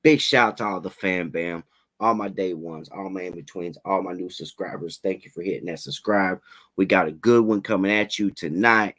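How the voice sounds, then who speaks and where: A man hosting a casual livestream interview, talking in relaxed slang, a little raspy from a head cold. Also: low voice, moderate pace. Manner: laid-back slangy hype, fillers like "you know what I'm saying".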